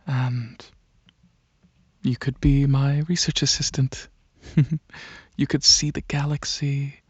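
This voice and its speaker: A man playing a gentle dragon alien prince in a soft-spoken ASMR roleplay, talking to the listener in a hesitant, soothing way with many pauses. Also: medium pitch, breathy edge, no echo, highs cut off. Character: gentle dragon alien prince, centuries-old royal researcher, softly coaxing.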